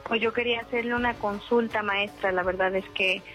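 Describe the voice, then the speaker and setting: A woman's voice: mid-range voice, fairly even intonation, a little fast, a bit bright, muffled recording, soft background music. A woman, calling in hesitantly and deferentially for advice. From El Salvador. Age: 50s. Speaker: caller seeking advice. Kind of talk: recounting a personal problem.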